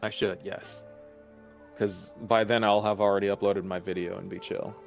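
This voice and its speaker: A man's voice: on the low side, little pitch movement, moderate pace, vocal fry, soft background music. A man chatting casually as a livestream guest, easygoing and lighthearted. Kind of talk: casual chat.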